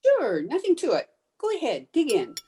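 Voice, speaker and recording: A woman's voice: sits high, huge pitch swings, normal speed, dark tone, slight background noise. A woman playing a scatterbrained, stubborn, cheerful housewife in an old-time radio comedy, with theatrical, emphatic delivery. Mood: breezy, sheepish.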